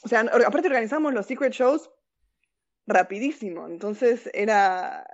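A woman's voice: fairly high pitch, expressive, normal speed, highs cut off. A woman with a Rioplatense Argentine accent, chatting casually and telling personal stories as an interview guest, informal and slangy. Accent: Rioplatense Argentine Spanish. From born in Argentina, grew up in several countries. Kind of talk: casual chat, personal anecdotes.

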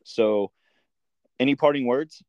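A man's voice: medium pitch, takes it slowly, no echo, dull audio. An American man from the Midwest hosting a podcast in an informal, casual chat full of filler words like "like" and "you know". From Midwestern United States. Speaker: podcast host.

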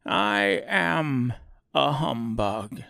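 A man's voice: medium pitch, lively intonation, drawn-out words, vocal fry, sharp tone, no echo, clean studio sound, pitched above the performer's own voice, full character voice. A man voicing a timid little old humbug wizard in a storybook, speaking meekly with a tremble. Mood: pleased. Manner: trembling, meek.

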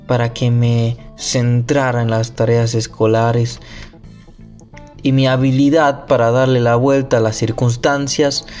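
A man slowly reading a nonfiction book aloud, careful and deliberate, now and then stumbling over a word and starting it again. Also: medium pitch, darkish tone. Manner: slow, careful reading with occasional slips and restarts. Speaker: amateur reader.

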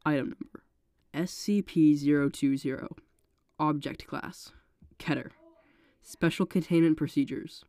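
A narrator getting over a cold, sounding congested, reading a horror case file in a steady, measured way. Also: mid-range voice, no echo, clean studio sound.

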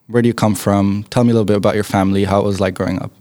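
A man hosting a podcast interview in an office, chatty and friendly, often filling pauses with "um". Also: lowish voice, fairly even intonation, mellow, no room sound. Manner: friendly, many filler ums. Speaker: podcast host. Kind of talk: casual interview conversation.

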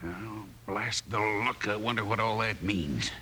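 An actor playing a crooked, outwardly folksy frontier storekeeper with an American Western accent in an old-time radio western. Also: male voice, lowish voice, measured pace, pushed and bright. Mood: menacing, urgent. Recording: faintly noisy old radio recording.

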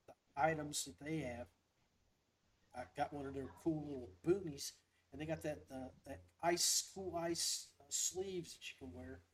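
A man's voice: on the high side, normal speed, a little hiss or hum, poor audio. A man hosting a casual fishing livestream, talking in a rambling, folksy, upbeat way. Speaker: podcast host.

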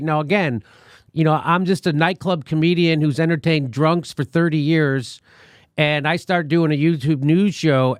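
A man's voice: a little high, no room sound, clean studio sound. A middle-aged man from the United States hosting an interview, talking bluntly and animatedly with some swearing. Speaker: host. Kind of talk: conversational interview.

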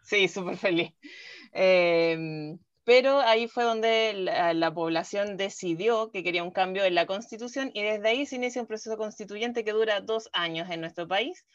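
A woman's voice: medium pitch, moderate pace, muffled recording. A woman, co-hosting a talk show, laughing easily and hesitating often. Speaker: co-host. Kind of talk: casual radio chat.

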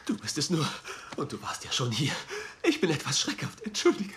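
A man's voice: on the high side, huge pitch swings, fairly quick, fairly bright tone, a little hiss or hum. Jumpy, apologetic man, swooping intonation. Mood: contrite. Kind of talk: German screen dubbing. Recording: music behind the voice.

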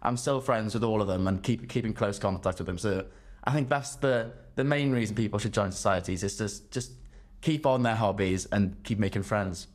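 A man's voice: on the low side, normal speed. Earnest young man. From West Wales. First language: Welsh. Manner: earnest, with frequent repeated words. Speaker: students' union officer, guest. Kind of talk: casual interview answers.

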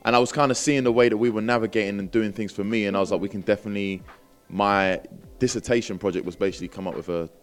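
A man's voice: lowish voice, steady tone, very fast, smooth, faint music behind. A man with a London accent telling stories casually as an interview guest, in slangy, laid-back phrasing. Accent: London English. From Britain. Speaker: interview guest, rapper and entrepreneur. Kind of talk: casual conversational storytelling.

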